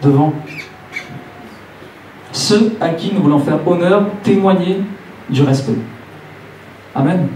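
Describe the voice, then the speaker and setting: A man's voice: on the high side, slow, pauses often, dark tone. A man in his late thirties preaching a church sermon, speaking didactically and repeating phrases for emphasis. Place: church hall, preaching to a congregation. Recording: roomy church, distant mic, faint noise.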